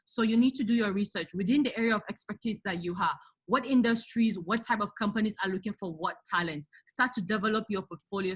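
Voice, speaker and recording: A woman's voice: medium pitch, a bit bright. Emphatic woman hosting a career webinar. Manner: emphatic, addresses listeners with rhetorical questions. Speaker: webinar host and career speaker. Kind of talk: motivational advice to an online audience.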